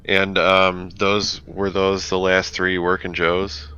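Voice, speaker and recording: Deep-voiced, halting male tabletop player. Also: monotone, pushed and bright, smooth. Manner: halting, thoughtful, tentative. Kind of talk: tentatively describing his character's actions. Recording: dull microphone with faint background noise.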